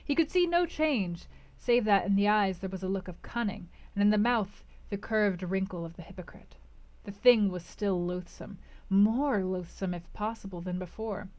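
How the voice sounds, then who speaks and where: A woman reading a classic novel aloud as a book club host, with theatrical emphasis, dramatic pauses and swings from hushed to intense. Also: medium pitch, muffled recording.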